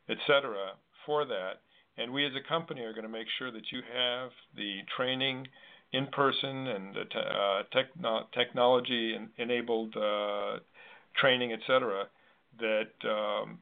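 A man with an average-pitched voice hosts a conversational interview. He feels his way through long, wordy sentences with halting phrasing. The audio is thin and narrow, like a telephone call. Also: fairly even intonation, creaky. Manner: halting, wordy, thinking aloud. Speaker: interview host. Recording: narrow, tinny phone-like line.

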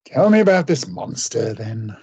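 A man playing a guarded, irritable gentleman doctor in a radio play, with a husky, creaky voice. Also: lowish voice, big pitch swings, normal speed, no echo, exaggerated voice. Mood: indignant, dismissive.

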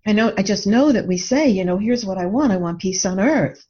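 A woman's voice: medium pitch, animated, a little fast, mellow, husky, dull audio. A woman speaking reflectively in a discussion, earnest and thoughtful, often posing rhetorical questions. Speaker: radio show co-host and author.

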